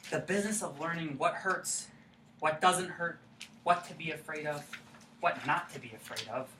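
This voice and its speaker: An actor in a staged reading playing an earnest, naive, childlike man, with a halting, literal-minded way of talking. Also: fairly high pitch, close to an everyday voice. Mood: earnest, puzzled. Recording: echoey room, distant mic, faint noise.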